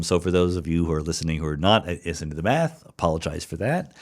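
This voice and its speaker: A middle-aged man hosting a solo podcast, answering listener questions about science in a conversational, thinking-aloud way with fillers and self-corrections. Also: sits low.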